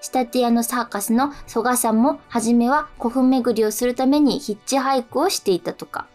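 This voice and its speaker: A woman's voice: on the high side, moderate pace, darkish tone. A woman in her twenties co-hosting a radio show, chatting casually and politely. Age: 20s. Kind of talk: casual radio chat and reading listener letters. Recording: radio talk with faint background music. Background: faint music.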